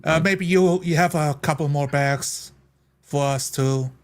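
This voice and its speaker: A man's voice: on the high side, measured pace. A man playing a tabletop roleplay game, improvising his character's actions in casual, tentative, loosely phrased speech.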